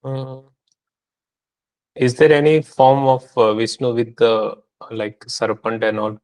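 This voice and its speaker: A man's voice: medium pitch, flat delivery, unhurried, darkish tone, rough recording. A man answering as a student in an online class, speaking in a hesitant, tentative way.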